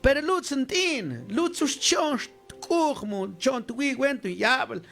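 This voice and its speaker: A male preacher, a Mam speaker, delivers an exhorting scripture sermon at a slow pace. His pitch moves in lively swings as he addresses the congregation. Music plays behind his voice. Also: creaky. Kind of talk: sermon on scripture, mixing Mayan language and Spanish.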